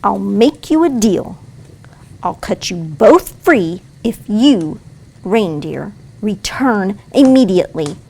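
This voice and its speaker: Audio play: woman as commanding, threatening robber girl, secretly soft-hearted, slow measured delivery. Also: medium pitch, low-quality recording. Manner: brusque, commanding.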